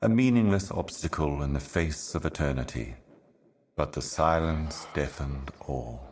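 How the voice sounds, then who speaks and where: Game role: ancient eternal envoy of darkness, bottomless low voice, slow, solemn, portentous delivery. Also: male voice, darkish tone. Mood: solemn, cryptic. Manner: grave, brooding, measured, portentous. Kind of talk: scripted game monologue, cryptic poetic narration.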